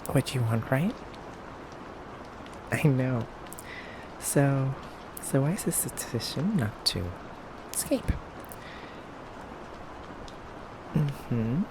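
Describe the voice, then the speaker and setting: A man playing a menacing, teasing male vampire captor in an ASMR roleplay, speaking softly and hesitantly. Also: mid-range voice. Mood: calm, teasing. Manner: slow, hesitant, teasing, quietly threatening. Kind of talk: ASMR roleplay addressed to the listener. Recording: clearly noisy background ambience.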